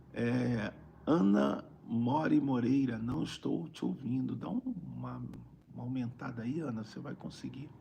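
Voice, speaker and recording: A Brazilian man with a raspy, gravelly voice, a preacher talking conversationally on a live stream and repeating phrases for emphasis. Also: medium pitch, a little mellow, a little hiss or hum. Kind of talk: informal livestream preaching, reading viewer greetings.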